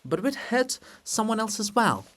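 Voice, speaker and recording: A man's voice: high voice, very animated, normal speed, a bit bright, voice pushed up. A man voicing the bronze monument of an irascible bearded historian, emphatic and scornful, given to ranting. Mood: fiery.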